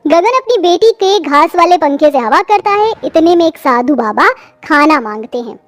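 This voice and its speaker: Very high-pitched female story narrator. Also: lively intonation, moderate pace. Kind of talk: scripted children's story narration in Hindi.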